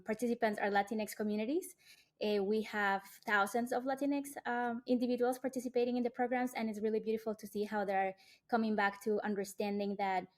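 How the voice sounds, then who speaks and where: A woman, a Spanish speaker, introducing her grassroots group on a panel in a heartfelt way, often starting with "eh.". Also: mid-range voice. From Colombia. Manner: warm and heartfelt, with "eh" fillers.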